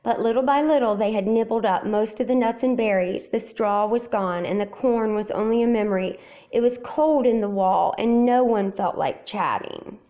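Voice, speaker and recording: A woman storyteller reading a children's picture book aloud in a deliberate, expressive way. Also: on the high side.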